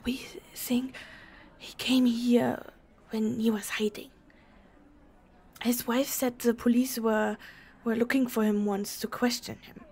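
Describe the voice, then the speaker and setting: A woman playing a nervous, secretive German desk clerk in an audio drama, with a German accent and a halting, hushed, stammering delivery. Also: on the high side, little pitch movement, husky, exaggerated voice. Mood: uneasy, hesitant. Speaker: voice actor. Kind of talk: cinematic audio roleplay acting.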